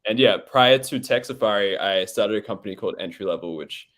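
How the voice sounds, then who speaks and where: An Australian man hosting an online founder session, relaxed and upbeat, with a lot of "um"s. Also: on the low side, brisk. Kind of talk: casual live-stream chat.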